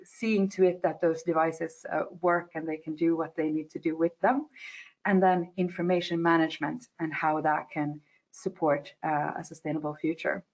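A woman from Finland giving a webinar presentation over a video call, pausing often with fillers. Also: low voice, flat delivery. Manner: hesitant, many uh fillers. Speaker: climate manager presenting. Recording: dull lo-fi call audio.

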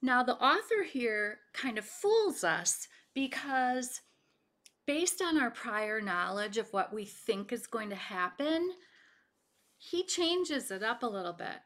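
Bright-voiced woman reading a picture book. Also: fairly high pitch. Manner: expressive, deliberate pauses for the listener. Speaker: read-aloud storyteller. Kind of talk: children's picture book read-aloud, explanatory. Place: home kitchen, indoors.

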